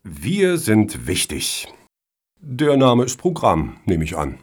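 Actor doing an officious board guy in radio satire, ironic, husky, really animated pitch. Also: slow pace, full character voice. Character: wry, officious male panelist on a foundation board. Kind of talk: satirical radio play acting.

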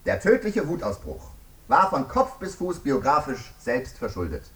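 A man's voice: fairly high pitch, huge pitch swings, normal speed, a bit bright, distant mic. An actor in a German radio drama playing a stern, indignant male prosecutor who speaks formally and emphatically. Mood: indignant, insistent.

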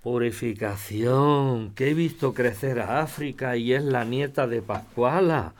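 A man's voice: mid-range voice, measured pace, natural voice. An actor with a Spain accent playing a proud, stubborn, grumbling old man in an audio drama. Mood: grumbling, confiding. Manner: emphatic, grumbling, scolding. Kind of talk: audio fiction podcast acting.

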